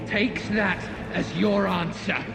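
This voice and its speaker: An actor playing a ruthless, commanding villain who threatens captives in a video game cutscene. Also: male voice, high-pitched, steady tone, takes it slowly, vocal fry, heavily acted. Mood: menacing, impatient. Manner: commanding, menacing. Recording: echoing stone hall, loud music.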